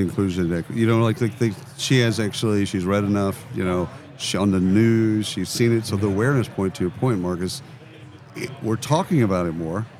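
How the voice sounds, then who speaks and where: A man's voice: lowish voice, darkish tone. A man hosting a live podcast interview at an event booth, casual and friendly, affirming his guests often. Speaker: podcast host.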